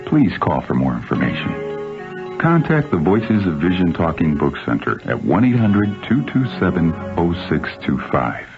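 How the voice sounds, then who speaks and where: A male announcer with an extremely deep, animated voice reads a public service spot. The sound is thin and phone-like, with music clearly behind. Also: measured pace. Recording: tinny radio spot over music.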